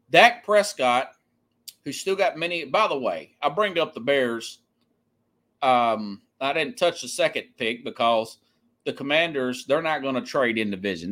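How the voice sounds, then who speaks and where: A man's voice: on the high side, pushed and bright. A man hosting a sports podcast, giving an opinionated, conversational monologue and repeating phrases for emphasis.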